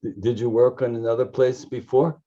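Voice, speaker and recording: Very mellow older American man, slightly muffled. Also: mid-range voice, little pitch movement, fairly quick. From United States, grew up in New York. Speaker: visiting professor and speaker. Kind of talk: casual chat on a video call. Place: indoors, on a video call. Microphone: computer video call microphone. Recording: dull, muffled video call audio.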